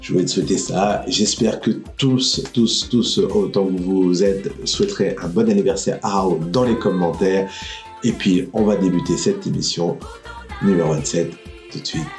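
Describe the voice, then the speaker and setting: A man hosting a casual online geek talk show, speaking in an informal, joking way with loose, halting phrasing. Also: deep voice, a little mellow, faint music behind. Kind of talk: casual live-stream chat. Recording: roomy, echoing sound.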